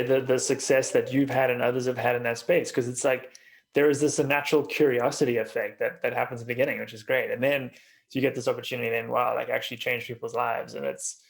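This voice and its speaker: A man hosting a podcast interview, asking questions in a relaxed way and affirming often. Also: medium pitch, creaky. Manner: relaxed, frequent affirming "yeah". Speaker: podcast host.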